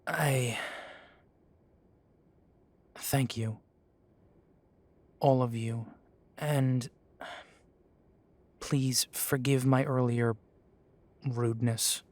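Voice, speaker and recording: A man's voice: medium pitch, steady tone, extremely slow, long pauses, darkish tone. A young man voicing a young male werewolf pack leader who talks earnestly and deferentially. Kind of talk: amateur audio drama acting.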